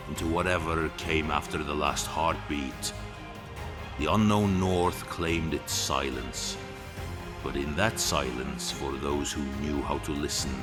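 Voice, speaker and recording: A man with an exceptionally deep voice narrates epic fantasy with solemn drama. He takes his time over every phrase, measured and grave. Long reverb surrounds the voice, with music underneath.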